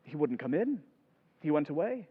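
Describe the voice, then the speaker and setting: An actor playing a cheerful, friendly young gentleman in an old-time radio drama. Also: a little high, big pitch swings, moderate pace, slightly rough, phone-quality sound. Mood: puzzled.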